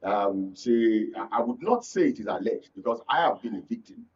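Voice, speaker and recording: A Nigerian man argues on a political panel in a husky voice. He speaks haltingly and repeats words to press his point. The sound is dull and a little off the microphone. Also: on the low side, lively intonation, a little mellow. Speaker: studio panel guest. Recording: dull, slightly distant studio sound.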